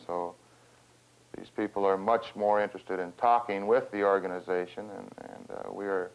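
An American man speaks in a very low voice with little rise or fall, picking his way haltingly through long, roundabout sentences. He sounds measured, as in a formal interview. The sound is slightly dull, like an old film soundtrack. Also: clean tone. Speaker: farm organization representative and cattle rancher. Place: small wood-panelled room, seated at a table.

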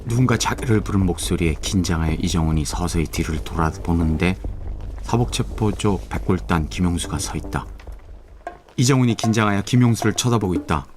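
A man narrating a radio drama in a steady, descriptive way. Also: low voice, fairly quick, slight background noise. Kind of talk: scripted narration. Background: background music.

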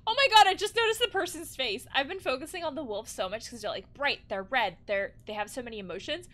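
A woman, perhaps in her thirties, giving expressive, exclaiming reaction commentary as she plays a video game. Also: high voice, fast. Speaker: counselor playing and commenting on a game. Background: game music.

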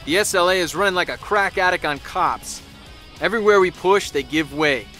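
Bright-voiced, cynical American soldier. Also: male voice, fairly high pitch. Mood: sardonic, confident. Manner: wry, colloquial, sardonic. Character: cynical, wry American male soldier narrating war. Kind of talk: dramatic scripted first-person narration.

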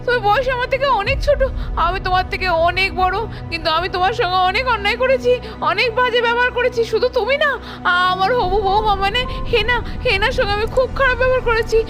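A woman's voice: very high-pitched, quick pace. A woman voicing a remorseful, lamenting mother. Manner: pleading, lamenting, emotional. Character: remorseful middle-aged mother. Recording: music playing behind.

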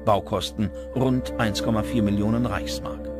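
A man reading scripted documentary narration in a measured, dramatic manner. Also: lowish voice, steady tone. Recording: music under the voice. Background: background music.

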